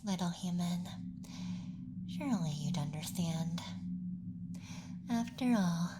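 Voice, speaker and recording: A man voicing a playful young alien abductor in a whispered ASMR roleplay, speaking softly in a teasing, clinical manner. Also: sits high, background noise. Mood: pleased, clinical.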